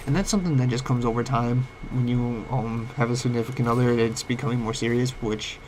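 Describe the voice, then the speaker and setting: A man's voice: medium pitch, fairly even intonation, a little mellow, poor audio. A young man with a cold talks late at night, his voice cracking now and then. He rambles and halts, full of fillers and restarts, into a home mic whose volume rises and falls. Faint hiss sits under the voice. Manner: rambling, halting, many fillers. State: sick, voice cracking. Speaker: vlogger. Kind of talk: late-night personal talk. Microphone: home microphone, uneven volume.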